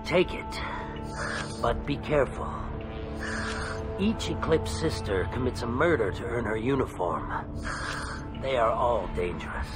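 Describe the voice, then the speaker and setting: A man's voice: mid-range voice, expressive, measured pace, pauses often, lightly acted. Man playing a swoopy, shifty alien merchant. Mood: sly, cautious. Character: shifty alien merchant in a suit, nervous and wheedling. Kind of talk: video game character acting. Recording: loud music behind.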